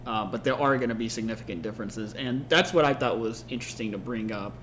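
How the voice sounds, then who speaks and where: A man's voice: on the low side, fast talker, fairly bright tone. A man from Mexico co-hosting a podcast, talking in an earnest, casual way with many fillers. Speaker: podcast co-host. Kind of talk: casual unscripted conversation. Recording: faint background noise.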